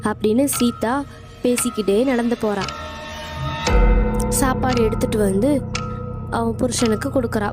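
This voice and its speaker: A woman's voice: fairly high pitch, fairly even intonation, moderate pace, pauses often. A woman narrating a cartoon story in a plain, matter-of-fact way, with music playing behind. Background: background music.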